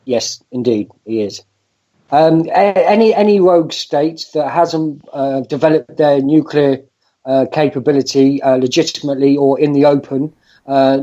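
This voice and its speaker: A man talks through current affairs in a stop-start way, filling every pause with "uh". His voice is mellow and darker in tone. The audio sounds dull and lo-fi, like an online call. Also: medium pitch. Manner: hesitant, many "uh" fillers. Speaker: podcast co-host. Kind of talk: casual political discussion.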